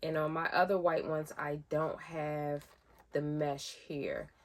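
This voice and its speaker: A woman's voice: little pitch movement, slow. A woman vlogger, chatting casually through a sneaker unboxing, a little nasal from a head cold. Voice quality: deep voice. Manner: chatty, rambling with fillers.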